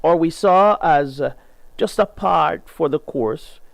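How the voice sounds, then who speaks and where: A man's voice: slow pace, gravelly, no room sound. A middle-aged Jamaican man hosting a gospel radio show, reading an article aloud and then speaking earnestly and emphatically. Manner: earnest, emphatic, rises in pitch to stress points. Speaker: radio host.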